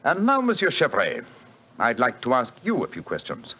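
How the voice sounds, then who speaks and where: A brisk detective, crisp and lightly ironic, with a lively, animated delivery. It is heard on a dull, muffled old radio broadcast. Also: male voice, medium pitch, exaggerated voice. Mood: assured. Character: brisk, shrewd British detective, sharp and assured. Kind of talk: 1940s radio acting.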